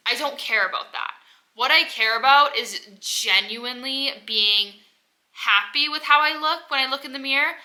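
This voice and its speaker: A young woman in her twenties chats to camera in a loose, rambling vlog, her talk full of "like". Her voice is very bright and sharp, and she sounds stuffy from a head cold. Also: medium pitch. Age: 20s. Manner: rambling, heavy use of "like". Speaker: vlogger. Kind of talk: casual personal vlog.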